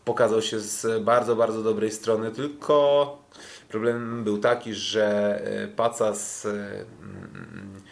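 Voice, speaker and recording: A Polish man co-hosting a sports podcast, recapping fights casually in a hesitant way with drawn-out fillers and restarts. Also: fairly low pitch, fairly even intonation, creaky. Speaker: podcast co-host, kickboxing commentator.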